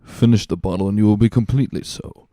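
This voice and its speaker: A man voicing a cunning, smooth-talking, scornful schemer with a measured, condescending manner in a dramatic reading. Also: fairly low pitch, mellow, no echo, studio quality, natural voice.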